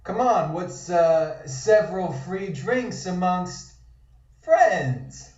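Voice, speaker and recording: A man acts an awkward would-be charmer in a period comic read. He speaks slowly and haltingly, and sounds dull and distant in a roomy space. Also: high voice, very animated, darkish tone, heavily acted. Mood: uneasy, amiable. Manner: hesitant, halting. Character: smooth-talking, awkward man on a night out with a woman.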